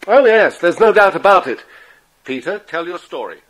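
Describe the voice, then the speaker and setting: A man's voice: sits high, big pitch swings, moderate pace, fairly bright tone, low-quality recording. An actor playing a respectable, kindly father in a children's audio drama, speaking courteously as he explains things. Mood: serious, assured.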